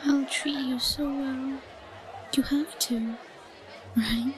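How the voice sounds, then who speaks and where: A woman playing a shy, obsessive, lovesick yandere schoolgirl in an intimate roleplay audio, breathy, whispery and halting. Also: high voice, fairly even intonation, some chatter behind. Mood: possessive devotion. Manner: giggly, halting. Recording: noisy, crowded ambient background.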